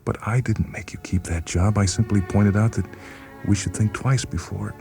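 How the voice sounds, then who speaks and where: A gentle, devoted wife, played by a male narrator, pleading with quiet courage. The voice is raised, soft and earnest, as in a dramatized audio storybook. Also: lowish voice, steady tone, normal speed, vocal fry, faint music behind, voice pushed up. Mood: hurt, gentle.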